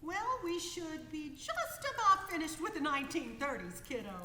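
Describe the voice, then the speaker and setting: A woman's voice: high-pitched, big pitch swings, moderate pace, pushed and bright, full character voice. An actress playing a brash, good-humored 1930s woman aviator with breezy, slangy talk in a radio play. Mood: matter-of-fact. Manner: breezy slangy talk, calls people kiddo. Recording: echoey hall, faint background noise.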